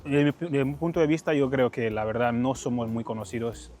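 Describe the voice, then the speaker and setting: Mid-pitched man chatting in an interview. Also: brisk, darkish tone. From Equatorial Guinea. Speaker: documentary interviewee. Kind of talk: casual interview talk with acted-out dialogue. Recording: music under the voice.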